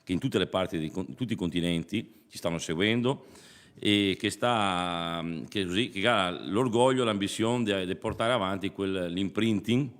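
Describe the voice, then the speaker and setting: A man with a Veneto accent speaking off the cuff as a councillor, halting and correcting himself in plain words. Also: deep voice, fairly bright tone. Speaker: regional councillor speaking at a press conference. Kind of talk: informal impromptu speech. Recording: reverberant hall.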